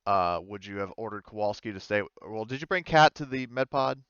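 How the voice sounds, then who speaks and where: A man running a tabletop game as game master, narrating in a casual, halting, informal way. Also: lowish voice, lively intonation, a bit bright, clear, resonant tone, dry recording, highs cut off.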